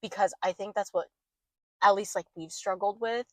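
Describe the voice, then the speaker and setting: A woman's voice: mid-range voice, fairly even intonation, moderate pace, a little mellow, no room sound. A young woman co-hosting a podcast, chatting candidly and rambling with lots of "like". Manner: rambling, heavy use of "like", candid. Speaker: podcast co-host. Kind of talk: casual chat.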